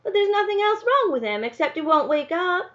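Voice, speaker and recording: A woman's voice: very high-pitched, very animated, fairly quick, fairly bright tone, lo-fi sound, voice pushed up, heavily acted. A woman voicing an earnest, stubborn but tender country girl in a storybook read-aloud. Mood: insistent. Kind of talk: storybook character dialogue.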